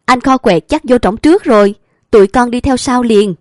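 Woman doing an eager young Southern farmhand, brighter and louder. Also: fairly high pitch, moderate pace, no room sound, clean studio sound, lightly acted. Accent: Southern Vietnamese. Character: cheerful young male tenant farmer.

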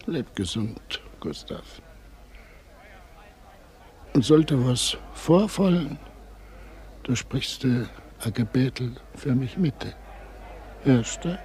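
A tired old weaver in a radio play. His speech is very slow and emotional, swinging in pitch and sinking toward whispers. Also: expressive, darkish tone. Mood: wistful. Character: weary old weaver longing for freedom. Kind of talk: German radio drama acting.

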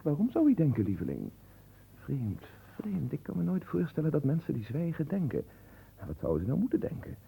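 An actor playing a pompous, absent-minded marquis who muses aloud theatrically in a radio play. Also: male voice, fairly high pitch, huge pitch swings, fairly quick, vocal fry, warm tone, slight background noise, exaggerated voice, low-quality recording. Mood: pondering.

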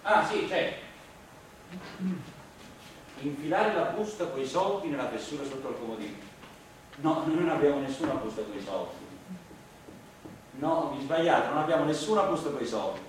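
A man's voice: on the high side, animated, normal speed, pauses often, close to an everyday voice. Echoey, distant actor as a flustered evasive man. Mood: puzzled, insistent. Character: evasive, flustered male stage performer named Augusto. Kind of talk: theatrical stage acting, naturalistic dialogue. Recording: roomy, distant, noisy stage recording.

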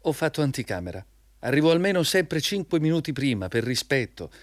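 A man's voice: on the high side, very animated, brisk, dry recording, studio quality. An actor playing a nervous, punctilious male job applicant in a radio drama, earnest and deferential. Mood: anxious, justifying. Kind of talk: Italian radio drama acting.

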